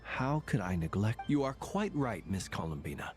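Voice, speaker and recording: A man voicing a refined, patient gentleman in a game, with formal, polite and measured phrasing. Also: on the low side, sing-song. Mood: courteous. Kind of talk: animated game dialogue. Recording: music playing behind.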